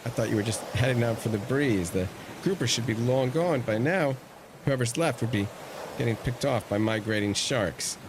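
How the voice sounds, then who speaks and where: A man's voice: mid-range voice, moderate pace, background noise, lightly acted. An actor playing a protective middle-aged uncle, a former navy man, in an audio drama, earnest and pressing. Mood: concerned, wry.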